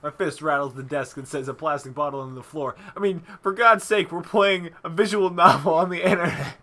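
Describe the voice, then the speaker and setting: A man's voice: fairly high pitch, a little fast, sharp tone. A young man hosting a let's play, joking casually and reading game text aloud. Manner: joking, bantering. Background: background music.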